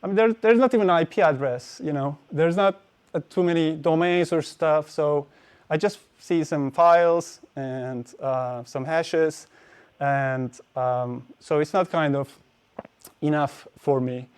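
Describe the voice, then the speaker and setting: A man's voice: a little high, a little slow, a little mellow, smooth. A man giving a technical conference talk, hesitant, with many fillers and restarts. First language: Turkish. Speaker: conference speaker.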